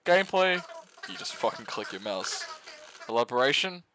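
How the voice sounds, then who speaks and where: A man's voice: medium pitch, sing-song, moderate pace, background noise. A man narrating a comedic scripted review as a video essayist, mock-serious, sarcastic and sweary.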